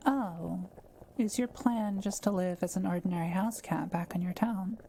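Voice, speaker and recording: A woman playing a kind, curious forest witch in an intimate audio roleplay, speaking gently and thoughtfully with polite, measured phrasing. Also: lowish voice, slight background noise, close to an everyday voice. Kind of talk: intimate scripted audio roleplay addressed to the listener.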